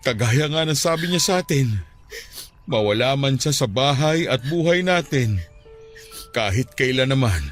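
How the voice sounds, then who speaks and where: An actor playing a tender, heartbroken widowed father in an emotional radio drama, speaking haltingly. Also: medium pitch, animated, lightly acted. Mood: resigned. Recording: music under the voice. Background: music.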